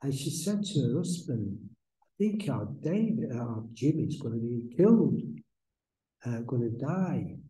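An older man giving a soft-spoken, rambling spiritual talk at a church lectern, full of restarts and fillers. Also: medium pitch, very animated, measured pace, poor audio. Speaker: guest speaker, medium. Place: church hall, indoors. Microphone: stand mic at lectern.

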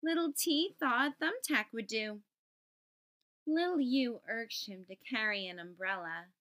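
Woman, children's book narrator, high pitch, unhurried, deliberate enunciation. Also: expressive, a bit bright. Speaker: storytime host. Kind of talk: children's picture book read-aloud.

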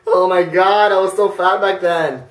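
A teenage boy reacting to his own old videos in his bedroom, embarrassed and excitable, jumping between mutters and yelps. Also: high-pitched, normal speed, roomy sound, recorded from a distance, poor audio. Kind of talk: casual reaction commentary. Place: bedroom, indoors, hugging a big stuffed bear.

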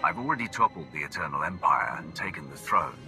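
An actor playing a proud, defiant dark warrior ruler in a video game, commanding and scornful. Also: male voice, a bass voice, very animated, brisk, heavily acted. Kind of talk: video game cutscene acting. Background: game music.

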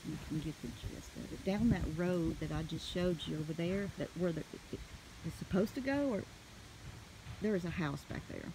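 A woman with a Southern US accent telling a story casually in a folksy, animated way, recorded on a handheld phone outdoors. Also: on the low side, moderate pace, background noise. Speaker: homestead vlogger. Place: outdoors in a wooded rural yard.

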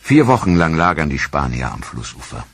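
Male documentary narrator, very low, husky voice, dry studio sound, measured delivery. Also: big pitch swings, no room sound. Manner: measured, sober storytelling. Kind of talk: scripted narration.

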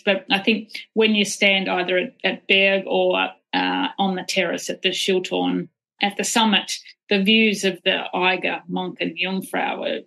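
A woman hosting a podcast with a bright, forward tone and a gravelly edge of vocal fry. She speaks with enthusiasm and asks her guest questions, restarting now and then mid-phrase. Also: medium pitch, rough recording. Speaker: podcast host. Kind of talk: podcast interview.

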